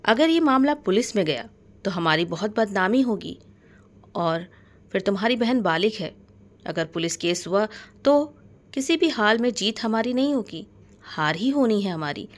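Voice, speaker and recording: A woman's voice: medium pitch, expressive, moderate pace, natural voice. A middle-aged father from a storybook drama, read by a woman. He is wary and firm. He reasons carefully and cautions those around him. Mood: cautious reasoning.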